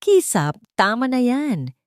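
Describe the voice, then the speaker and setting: A woman's voice: a little high, very animated, slow pace, dry recording, studio quality, pitched above the performer's own voice. A woman voicing a long-suffering wife with a Filipino accent in a story, earnest and restrained. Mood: firm, upset. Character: long-suffering wife pleading and standing up for family.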